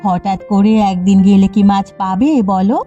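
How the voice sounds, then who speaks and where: A woman's voice: mid-range voice, flat delivery, moderate pace, dark tone, lightly acted. A woman voicing a kind, firm eldest sister, a village fisherwoman in a cartoon. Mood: patient, generous. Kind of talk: Bengali cartoon dialogue. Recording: slightly muffled audio with background music. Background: background music.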